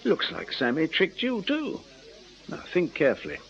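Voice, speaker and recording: A man's voice: on the high side, wildly swooping pitch, gravelly, a little hiss or hum, heavily acted. An actor playing a canny former music-hall memory man, coaxing and slyly patient, in a radio crime drama. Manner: coaxing, teasing, deliberate. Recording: muffled radio drama recording.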